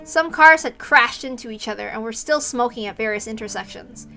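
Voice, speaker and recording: Bright, high-voiced woman reading aloud. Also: fairly quick, vocal fry, faint music behind. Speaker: streamer reading a visual novel aloud. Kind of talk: dramatic read-aloud narration.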